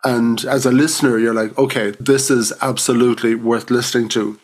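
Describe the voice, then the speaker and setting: A man's voice: mid-range voice, steady tone, brisk. A man hosting a podcast interview, chatty and enthusiastic, often laughing between points. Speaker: podcast host. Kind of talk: casual interview chat.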